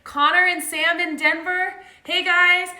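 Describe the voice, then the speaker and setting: A woman's voice: very high voice, flat delivery, unhurried, sharp tone. A woman hosting story time, reading a picture book aloud to children in an upbeat, chatty way. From Colorado, United States. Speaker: story time host and reader. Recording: echoey room.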